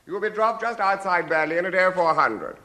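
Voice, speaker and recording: An English actor playing a polite, earnest army colonel with a clipped officerly manner in vintage radio comedy. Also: male voice, high voice, expressive, a little fast, pushed and bright. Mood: businesslike. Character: genteel, earnest British army colonel briefing his men. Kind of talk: 1950s British radio comedy acting. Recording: very noisy old radio recording.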